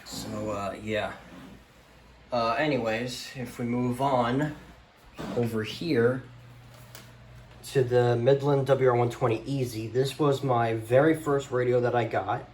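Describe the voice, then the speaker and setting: A man's voice: medium pitch, fairly even intonation, darkish tone. Echoey, offhand man vlogging casually. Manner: halting, offhand. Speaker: vlogger showing a radio collection. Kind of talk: casual unscripted product show-and-tell. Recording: echoey room, background noise.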